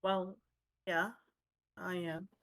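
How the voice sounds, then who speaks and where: A woman's voice: fairly low pitch, level tone, extremely slow, heavy background noise. A woman playfully reading game dialogue aloud among friends in a casual style. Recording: music behind the voice.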